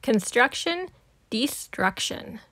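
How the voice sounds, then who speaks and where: A woman narrates a children's storybook in a high voice with expressive, emphatic delivery. She takes her time over the words, and the sound is dry and close. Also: slow, no echo. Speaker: storybook reader. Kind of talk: expressive read-aloud narration for young children.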